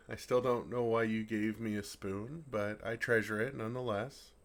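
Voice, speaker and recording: A man's voice: fairly low pitch, steady tone, moderate pace, natural voice. A man playing a friendly, naive male dragon who talks earnestly and playfully to a human in an ASMR roleplay. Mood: delighted, fond. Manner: earnest, playful, puzzled, soft chuckles. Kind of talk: ASMR roleplay, intimate one-sided dialogue.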